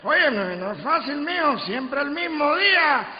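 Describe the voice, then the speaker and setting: Excitable high-pitched Cuban nag. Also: male voice, measured pace, full character voice. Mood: agitated. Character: excitable, nagging street character in Cuban radio comedy. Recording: echoey, muffled hall playback.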